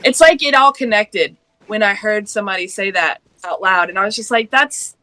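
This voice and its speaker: A woman's voice: medium pitch, moderate pace, bright, forceful tone, dry recording, low-quality recording. A woman in her late thirties from Georgia, talking earnestly and passionately in a casual panel discussion, laughing now and then. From Georgia, US Deep South. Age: 30s.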